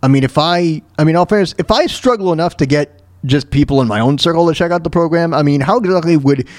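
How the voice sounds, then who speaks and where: A man hosting a solo radio show, giving informal, opinionated commentary on the news in a halting, repetitive way. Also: fairly high pitch, no room sound. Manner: informal, halting, repeats words and phrases for emphasis.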